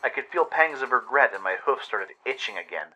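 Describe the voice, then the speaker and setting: A man's voice: mid-range voice, expressive, fairly quick, bright, forceful tone, faint music behind, low-quality recording. A man narrating a scripted adventure story dramatically, as in a radio play. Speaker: narrator and radio show host.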